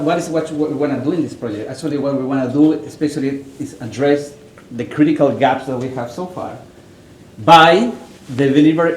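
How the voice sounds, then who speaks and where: A man's voice: mid-range voice, a little mellow. Chilean-accented man in an echoey hall. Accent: Chilean Spanish. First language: Spanish. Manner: conversational, frequent "right?" and "actually", restarts. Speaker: professor presenting research. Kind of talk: conference presentation. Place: large echoey conference room. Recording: echoey hall with faint noise.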